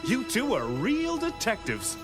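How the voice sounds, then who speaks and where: High, very animated cartoon detective. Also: male voice, measured pace, music in the background, exaggerated voice. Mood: earnest. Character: earnest adult male police detective. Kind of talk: children's cartoon voice acting.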